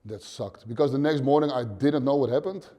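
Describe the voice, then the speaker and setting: A man's voice: mid-range voice, mellow. Blunt Dutch man in his thirties. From Netherlands. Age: 30s. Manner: plain, blunt, swears casually, short halting phrases. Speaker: interview guest, gym owner. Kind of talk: casual interview answers. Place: dim gym indoors.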